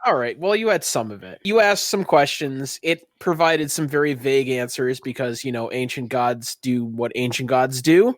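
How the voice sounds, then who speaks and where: A man's voice: mid-range voice, normal speed, few pauses, no echo, clean studio sound. A man narrating as game master of a tabletop game, moving between scene description and casual banter.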